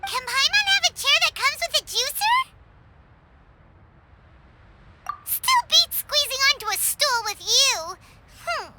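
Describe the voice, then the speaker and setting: Tiny childlike fairy companion, extremely high squeaky voice, wildly animated, exclamatory, anime game, loud music behind. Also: female voice, normal speed. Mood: excited. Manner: refers to self in third person, exclamatory. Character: small excitable floating fairy companion, childlike, chatty, greedy for food. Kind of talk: anime game cutscene voice acting.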